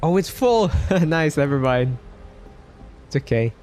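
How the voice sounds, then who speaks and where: A man's voice: a little high, big pitch swings, measured pace, darkish tone. A man doing casual live game commentary with slangy, exclamatory reactions. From Philippines. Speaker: live streamer playing a gacha game. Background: game music.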